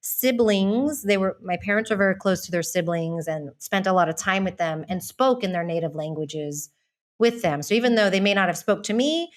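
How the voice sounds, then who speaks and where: A woman's voice: medium pitch, normal speed. A woman in her thirties from Texas, talking as a podcast guest in a candid, reflective way, laughing easily. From Texas, United States. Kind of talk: casual interview chat.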